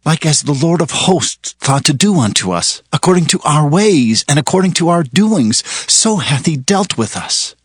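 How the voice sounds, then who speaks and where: Husky, humbled penitent. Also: male voice, on the high side, very animated, moderate pace, hardly any pauses, dry recording, clean studio sound. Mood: contrite. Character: humbled man of a repentant people. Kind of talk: dramatized scripture reading.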